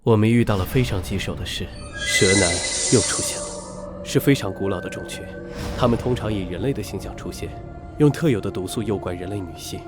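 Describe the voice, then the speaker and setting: A man acting an affable knight officer with a lowish voice. He is courteous and formal, an observant colleague speaking in animated drama style over clearly audible music. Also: brisk, close to an everyday voice. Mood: grave, formal. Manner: polite, formal, friendly. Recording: loud music behind.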